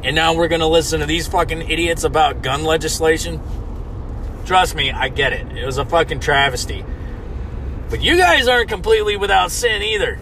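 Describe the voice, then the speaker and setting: An American man from the United States delivering a loud, mock-indignant comedic rant, swearing constantly. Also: fairly high pitch, expressive, brisk, a little hiss or hum, low-quality recording. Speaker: comedic ranter.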